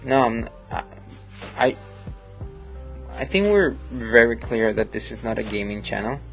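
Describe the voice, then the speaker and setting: A Colombian man, Spanish his first language, talks slowly with a low, gravelly voice. He rambles in a friendly way, full of fillers, as he edits at his desk. Soft music plays in the background. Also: animated. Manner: rambling and friendly, many fillers, reads chat aloud. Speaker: photographer hosting a live editing stream. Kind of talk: casual live-stream chat while editing.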